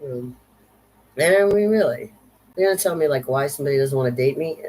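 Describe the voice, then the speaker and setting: A woman's voice: very deep voice, lively intonation, a little fast, mellow, slight background noise, rough recording. Woman, 50s, vlog rant, blunt, profane, sardonic, repeats herself. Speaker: vlogger. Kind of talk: unscripted rant monologue.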